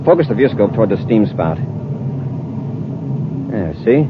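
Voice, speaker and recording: A man playing a decisive, calm space patrol commander in 1950s radio serial style, giving clipped orders with authority. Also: on the low side, a little slow. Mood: calm, intent. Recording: muffled old radio recording, loud noise.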